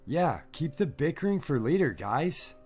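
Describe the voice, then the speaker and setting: A man's voice: fairly high pitch, sing-song, measured pace, a little mellow, faint music behind. A man playing an upset, foul-mouthed traveler in an audio horror story. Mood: annoyed.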